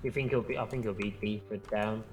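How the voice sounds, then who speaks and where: A man's voice: lowish voice, steady tone, a little fast, a little mellow. Hesitant man gaming, music behind him. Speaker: co-player on a game stream. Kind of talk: casual low-key game chat. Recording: game music and keyboard typing behind. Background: game music, keyboard clicks.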